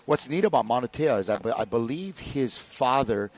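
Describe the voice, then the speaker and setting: A man's voice: medium pitch, expressive, moderate pace, low-quality recording. A man from Hawaii commentating on paddling races in a mid-range voice. He reads the lane lists steadily, then gets enthusiastic when he tells stories about other paddlers. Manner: warm, enthusiastic storytelling between race calls. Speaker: race commentator. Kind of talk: live race commentary.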